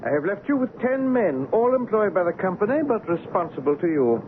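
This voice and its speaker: A man's voice: sits high, very animated, brisk. A man playing a stern, businesslike Dutch company director in a radio drama, formal and commanding. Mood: authoritative. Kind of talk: old-time radio drama acting. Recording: muffled old radio broadcast, noisy background.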